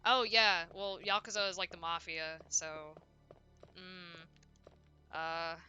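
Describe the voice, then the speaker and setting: A young woman chatting casually and loudly on a game livestream, rambling and often cutting herself off as she plays. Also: fairly low pitch, fairly even intonation, slow pace, clear, resonant tone, highs cut off, faint music behind. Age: young adult. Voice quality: loud voice. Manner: rambling, self-interrupting, frequent "like". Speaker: video game streamer. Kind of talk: casual livestream chat while playing.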